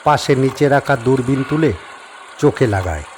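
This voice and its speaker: Calm male audio-story narrator. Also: mid-range voice, moderate pace, a little hiss or hum. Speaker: narrator and director.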